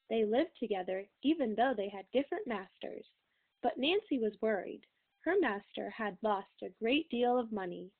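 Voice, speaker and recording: High-voiced woman reading aloud, phone-like sound. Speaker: read-aloud reader. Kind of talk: scripted storybook narration, read aloud. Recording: tinny phone-like recording.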